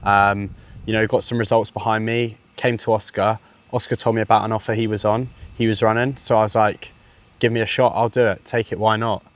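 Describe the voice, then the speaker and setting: A twenty-year-old man answering interview questions in a confident, easygoing way, peppering his talk with "you know". His voice is a little lower than average. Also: flat delivery, brisk. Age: 20s. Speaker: interview guest, sales closer. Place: villa, indoors.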